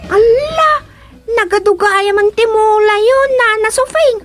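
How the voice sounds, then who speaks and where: A woman's voice: very high voice, measured pace, music in the background. A woman playing a chatty village neighbor in an Ilocano radio comedy drama, with an Ilocano accent. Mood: teasing, chatty.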